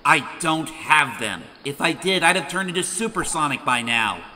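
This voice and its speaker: A man's voice: a little high, expressive, moderate pace, slight background noise. A man voicing a cocky, laid-back young hedgehog hero in a cartoon comic dub, breezy and casual. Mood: dry, smug. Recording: reverberant, distant, under very loud music.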